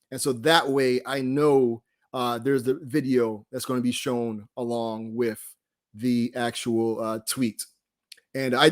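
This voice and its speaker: A man hosting an online study group, casually explaining website features in an informal, laid-back, conversational way. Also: medium pitch.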